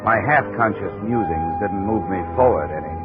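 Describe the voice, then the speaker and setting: A hard-boiled investigator in a vintage radio play. His lowish voice is dry, clipped and wry, on a muffled recording with music behind it. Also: animated, moderate pace. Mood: wry, reflective. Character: tough, wry male insurance investigator. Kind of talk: 1950s radio detective acting and narration.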